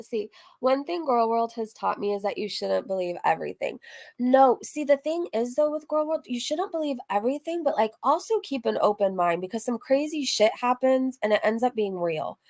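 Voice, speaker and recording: A woman with a fairly high voice hosts a casual reaction stream. She talks candidly and in a rambling way, reading messages aloud and cutting herself off with asides. Her language is loose and conversational, with some swearing. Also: moderate pace, a little mellow. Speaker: streamer and host of a reaction livestream.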